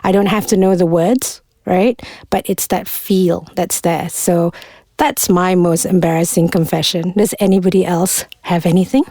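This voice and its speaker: A woman's voice: lowish voice, steady tone, moderate pace, dark tone, no echo. A woman who grew up in England and hosts a podcast, talking in a chatty, easygoing way and laughing easily. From Malaysia. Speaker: podcast host. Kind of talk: casual chat.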